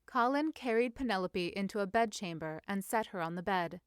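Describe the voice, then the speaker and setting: Female audiobook-style narrator: measured, crisp, medium pitch, studio-dry. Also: expressive, dry recording. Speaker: fan fiction narrator. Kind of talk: scripted story narration.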